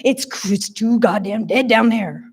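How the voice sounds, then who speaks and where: A woman's voice: on the high side, measured pace, breathy edge. A woman voicing a brash, foul-mouthed stripper in her mid-twenties with a Nova Scotian accent, in a storytelling reading. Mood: fed up.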